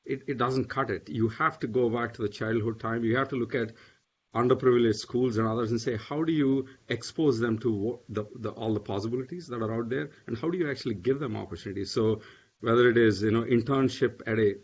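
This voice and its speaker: A man's voice: medium pitch, brisk, breathy edge. A man speaking on a panel, hesitant, with many fillers and restarts. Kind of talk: panel discussion. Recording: dull lo-fi audio.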